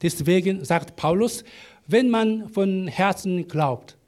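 Halting high-voiced man preaching slowly. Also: a little mellow. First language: not German. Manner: halting, many fillers and restarts. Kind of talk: sermon with live interpreter.

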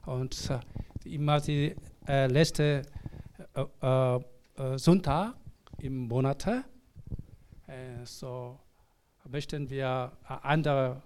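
Slow, halting male pastor, mellow voice. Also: medium pitch, steady tone, a little hiss or hum. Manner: halting, searching for words in broken sentences. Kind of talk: church service address and prayer.

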